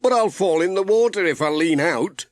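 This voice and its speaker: A mild, good-natured postman voiced by a male reader who frets plaintively in a children's audiobook. The voice sits a little high and swings widely in pitch, recorded very dry. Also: lively intonation, normal speed, no echo. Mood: anxious, puzzled. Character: Pat, mild, worried postman, wary of water.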